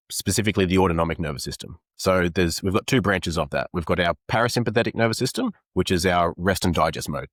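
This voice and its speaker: A man's voice: deep voice, dry recording, studio quality. An Australian man, a men's coach, explaining ideas earnestly in an interview, with many fillers. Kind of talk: conversational interview.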